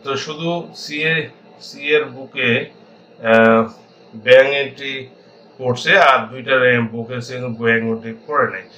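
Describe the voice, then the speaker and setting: A man with a Bangladeshi accent explaining as an accounting instructor in an informal tutorial, haltingly thinking aloud and mixing in English terms. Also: medium pitch, steady tone, a bit bright, poor audio.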